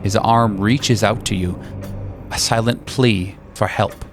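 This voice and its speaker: A man with a fairly low voice narrates tabletop horror in the second person. His delivery is deliberate and ominous, like scripted storytelling, with music clearly playing underneath. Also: fairly even intonation. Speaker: game master.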